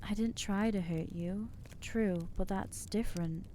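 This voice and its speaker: A woman's voice: medium pitch, a little slow, dark tone, slight background noise, lightly acted. A woman voicing an anxious, self-loathing young female vampire in an ASMR audio roleplay, talking hesitantly and putting herself down. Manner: hesitant, self-deprecating, trailing off.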